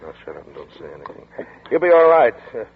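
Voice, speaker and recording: An actor in a 1940s radio drama playing a cool, controlling man who speaks low and clipped. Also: very animated, fast talker, vocal fry, husky, exaggerated voice. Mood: controlled. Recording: tinny old radio broadcast with faint hiss.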